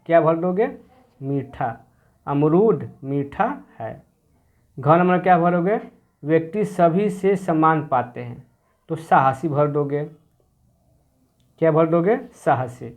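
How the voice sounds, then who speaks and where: A man's voice: a little high, slow, long pauses. A man teaching a grammar workbook to young children, asking questions and answering them himself as he repeats the key words. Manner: mixes English words into the explanation.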